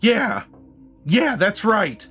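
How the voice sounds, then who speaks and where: A man runs a tabletop game in a fairly high, slightly squeaky voice. He improvises his narration haltingly, feeling his way through phrases and often clearing his throat, with music underneath. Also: wildly swooping pitch, fairly bright tone. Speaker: game master.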